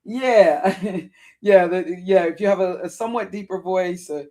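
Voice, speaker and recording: Raspy deep-voiced woman in her fifties. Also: unhurried, mellow, slight background noise. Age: 50s. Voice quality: deep contralto voice; worn, raspy voice. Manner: rambling and digressive, with frequent self-corrections. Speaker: vlogger. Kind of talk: casual personal storytelling to camera.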